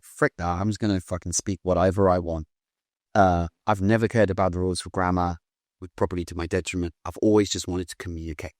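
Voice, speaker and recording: A British man in his thirties talking in a casual interview, blunt and animated, swearing now and then. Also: sits low, no echo, clean studio sound. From Britain. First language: English. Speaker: guest.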